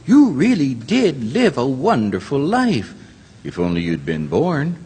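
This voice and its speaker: An actor playing a gentle, bumbling elderly guardian angel, mild and hesitant, in an old-time radio drama performed on stage. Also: male voice, mid-range voice, huge pitch swings, measured pace, slightly rough, heavily acted. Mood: solemn. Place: live theater stage performance. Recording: echoey theater stage recording.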